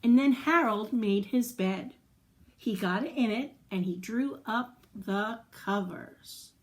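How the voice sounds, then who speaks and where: A woman's voice: medium pitch, slow pace. A woman hosting a children's storytime, telling a story and chatting to young listeners in an encouraging way with simple explanations and questions. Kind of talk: read-aloud storytelling and chat to young children.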